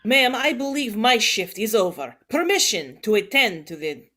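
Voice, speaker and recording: A woman's voice: fairly high pitch, big pitch swings, moderate pace, pushed and bright, full character voice. An actress playing a scheming, ambitious female crew member in a sci-fi audio drama, clipped, formal and cold. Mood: dutiful.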